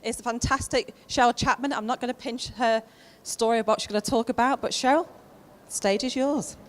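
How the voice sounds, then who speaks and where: A woman's voice: fairly high pitch, fairly even intonation, brisk. Upbeat woman introducing a speaker. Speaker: event presenter introducing a speaker. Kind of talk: stage introduction.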